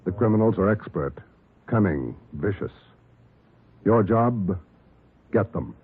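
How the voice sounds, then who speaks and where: A man's voice: lowish voice, lively intonation, slow, slightly rough, soft background music. A man delivering a dramatic old radio introduction in punchy, clipped, grave phrases, on a muffled vintage broadcast recording. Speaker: radio announcer.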